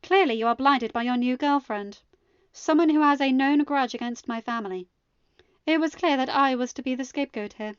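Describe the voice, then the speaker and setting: A woman's voice: high-pitched, moderate pace, smooth, dry recording, dull audio, voice pushed up, lightly acted. A woman voicing a haughty, defensive queen in a storybook reading, formal and emphatic. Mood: indignant, defensive. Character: haughty, indignant accused queen protesting innocence.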